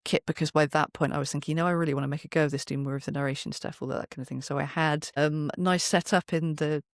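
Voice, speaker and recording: Androgynous voice, mid pitch, casual storytelling, acted-out asides, dry home booth. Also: quick pace, no room sound. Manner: animated storytelling, acts out remembered remarks. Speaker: guest, voice actor and narrator. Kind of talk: casual anecdotal interview chat.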